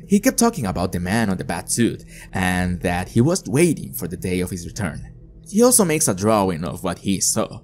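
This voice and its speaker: Low-voiced man narrating over music. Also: a little mellow. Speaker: video narrator. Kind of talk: scripted narration, story recap and explainer.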